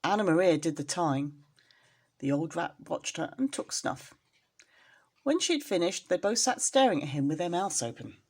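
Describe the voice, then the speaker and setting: A woman storyteller reading expressively to young children, in an engaging, animated manner. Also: lowish voice, normal speed, slightly rough. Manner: animated, addresses young listeners with questions.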